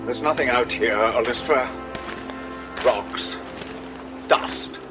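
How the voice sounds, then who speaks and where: A man's voice: mid-range voice, takes it slowly, music in the background, lightly acted. An actor playing a nervous, fussy and sarcastic male coordinator in a science fiction audio drama. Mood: grudging. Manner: fretful, fussy.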